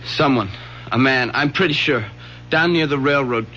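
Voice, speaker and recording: A man playing a scared, guilty young son in a radio drama, agitated and halting. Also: mid-range voice, sharp tone, natural voice. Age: young adult. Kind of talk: 1970s radio drama acting. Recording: dull, slightly noisy old radio recording.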